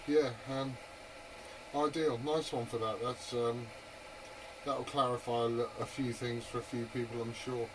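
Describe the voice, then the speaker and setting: Chatty man on a noisy CB radio. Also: mid-range voice. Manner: chatty, informal, keen questioner. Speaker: CB radio operator. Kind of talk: casual radio chat. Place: over a radio link. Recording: noisy hissy CB radio transmission.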